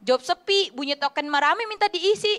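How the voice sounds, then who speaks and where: A young woman doing a stand-up comedy routine in an animated, slangy storytelling way. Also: very high voice, moderate pace, very noisy. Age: young adult. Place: TV studio stage, indoors. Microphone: handheld microphone.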